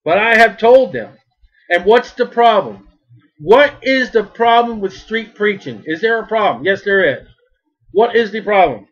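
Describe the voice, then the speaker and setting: A man preaching a sermon as a street preacher, with a big loud voice and emphatic delivery that swells in volume. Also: high voice, animated, measured pace, lo-fi sound.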